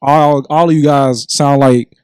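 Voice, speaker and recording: A man hosting a podcast, asking guests questions in a relaxed, casual way. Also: medium pitch, measured pace, clear, resonant tone, no echo. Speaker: podcast host.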